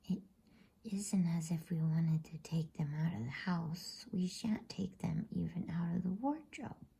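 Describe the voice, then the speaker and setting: A woman voicing a practical, sensible schoolgirl in a storybook, in a breathy, matter-of-fact ASMR whisper. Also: on the low side, a little slow, dark tone, full character voice. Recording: faint background noise.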